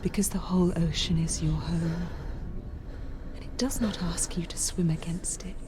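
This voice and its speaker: A woman playing a wise, tender mother in a video game, speaking in a gentle, soothing, measured way. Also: fairly low pitch, steady tone, natural voice. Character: tender, wise mother consoling her child. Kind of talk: dramatic video game voice acting. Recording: noisy ambience behind voice.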